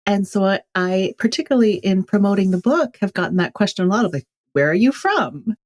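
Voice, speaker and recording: A woman's voice: mid-range voice, animated, brisk, a little mellow. A woman from California speaking as an author guest in an interview, thoughtful and candid. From California, USA. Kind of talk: reflective interview talk.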